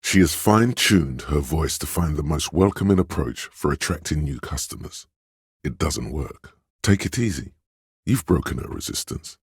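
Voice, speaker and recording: Smooth-talking inner voice of persuasion for a game: extremely low, very husky, insinuating advisor. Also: male voice, animated, moderate pace, no echo, clean studio sound, exaggerated voice. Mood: gently guiding. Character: suave, coaxing inner voice of persuasion, male. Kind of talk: video game voice acting, advisory narration.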